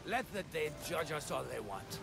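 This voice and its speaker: An exasperated village leader from an ancient Greek adventure game. His voice sits high and swings widely, blunt and sardonic, with music underneath. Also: very animated, moderate pace, creaky. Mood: sardonic, pragmatic. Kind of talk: video game cutscene acting.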